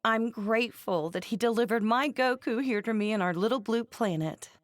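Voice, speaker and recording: A woman playing a feisty, opinionated wife and mother in a video game, fiercely protective of her family and quick to get indignant. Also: on the high side, no echo, clean studio sound, natural voice. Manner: opinionated, emphatic, openly indignant. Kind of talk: scripted video game character banter.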